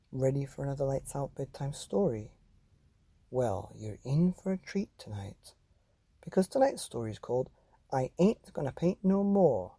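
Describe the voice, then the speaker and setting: A man presents bedtime stories for children. His voice is mellow and slightly husky, and his delivery is measured, addressing the listener directly. The sound is dry and close. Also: medium pitch, dry recording. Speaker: bedtime story podcast host and reader. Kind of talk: scripted children's podcast narration.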